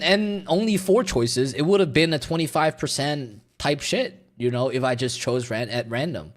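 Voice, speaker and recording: Young guy streaming, mandarin is his first language, rambly, swears a lot, filler words everywhere. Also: fairly high pitch. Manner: rambling, profane, filler-heavy. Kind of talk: casual reaction stream chat.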